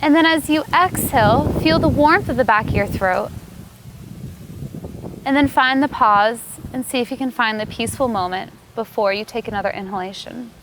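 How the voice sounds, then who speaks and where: A woman yoga teacher leading a guided meditation, giving calm, unhurried, soothing instructions. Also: on the high side, background noise.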